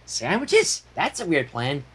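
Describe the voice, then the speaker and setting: A silly, bouncy girl pony from a cartoon, as a man puts her on. The voice jumps high and swings about, flighty and full of exclamations. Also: wildly swooping pitch, slow pace, fairly bright tone, breathy edge, exaggerated voice. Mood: puzzled.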